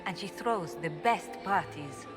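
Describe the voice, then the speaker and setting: A woman's voice: fairly low pitch, expressive, unhurried. An actress voicing a sly, witty female noble courtier in a video game, with a wry, arch manner. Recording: game dialogue over loud music.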